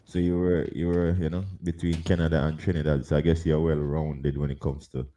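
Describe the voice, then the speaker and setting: A man's voice: rumbling low, little pitch movement, brisk, dark tone. A man hosting a casual interview, repeating words and filling with "you know" as he asks questions. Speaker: interview host.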